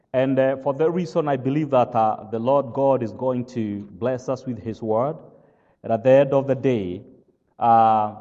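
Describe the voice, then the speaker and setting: A man from Kenya with a Kenyan accent, whose first language is not English, preaching a sermon as pastor. He speaks slowly and haltingly, fills pauses with "uh" and keeps asking "all right?". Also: on the low side, warm tone, big-room sound, muffled recording. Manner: slow, halting with many "uh" fillers, checks "all right?".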